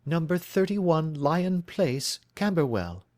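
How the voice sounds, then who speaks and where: Male reader doing a distressed young woman, raised voice, lively pleading lilt, for an audiobook. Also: fairly high pitch, slow pace, no echo, studio quality, pitched above the performer's own voice. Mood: earnest. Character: earnest young woman, anxious, devoted to her missing fiancé.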